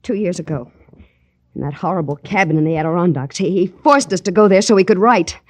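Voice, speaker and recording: A woman's voice: lowish voice, a little fast, dry recording, close to an everyday voice. Actress as genteel, bitter divorced woman. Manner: mannered, polite with bitter edge. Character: bitter, genteel divorced mother, coolly polite. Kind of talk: 1940s-50s radio drama acting.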